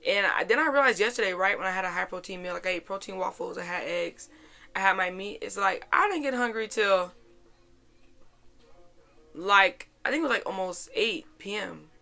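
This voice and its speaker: A young woman hosting a casual, chatty life-update podcast in an informal, slangy way, her voice a little raspy and hoarse. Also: medium pitch, normal speed, bright, forceful tone, soft background music. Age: young adult. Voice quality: slightly raspy, worn voice. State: hoarse after a weekend out. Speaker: podcast host.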